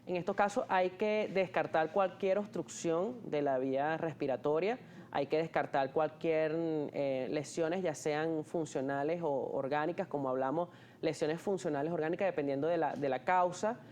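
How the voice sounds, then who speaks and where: A man's voice: on the high side, fairly even intonation, normal speed, a little mellow, dull audio, slight background noise. A man, an ear, nose and throat doctor, patiently explaining terms in an interview, often restarting his sentences with fillers. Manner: hesitant, many restarts and fillers.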